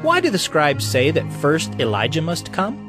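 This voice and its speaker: An inquiring male disciple speaks earnestly in a high, animated voice. It is a scripture audio drama, with clear music behind him. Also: normal speed. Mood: puzzled.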